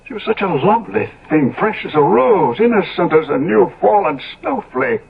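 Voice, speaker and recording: An actor playing a decadent, world-weary, easily appalled gentleman in 1970s radio drama, with an exclamatory, declamatory delivery. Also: high-pitched, big pitch swings, moderate pace, creaky, over a noisy crowd, full character voice. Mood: stunned, grieving. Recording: dull vintage radio recording.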